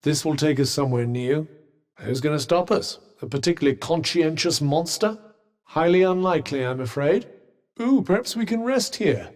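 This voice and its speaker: A man's voice: on the high side, lively intonation, moderate pace, a little mellow, big-room sound, lightly acted. A man voicing a sardonic, witty male talking bracelet in a video game, with dry, teasing quips.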